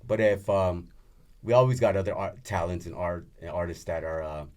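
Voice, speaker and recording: Deep-voiced laid-back male musician. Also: darkish tone. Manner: laid-back, halting, frequent "yeah". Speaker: musician guest. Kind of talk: casual podcast chat.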